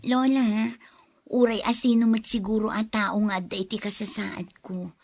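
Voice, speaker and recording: A woman's voice: mid-range voice, steady tone, moderate pace, darkish tone, lightly acted. A young woman playing a kind, forgiving granddaughter and caregiver in a radio drama. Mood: gentle, caring. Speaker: drama actor.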